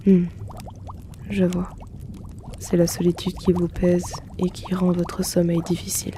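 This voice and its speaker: Soft, even-toned gentle potion seller played by a man. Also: high-pitched, a little hiss or hum. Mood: gentle, attentive. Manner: polite, soft-spoken, unhurried, gently teasing. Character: gentle, teasing male potion-shop keeper and herbalist. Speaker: roleplay audio performer. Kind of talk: soft ASMR roleplay.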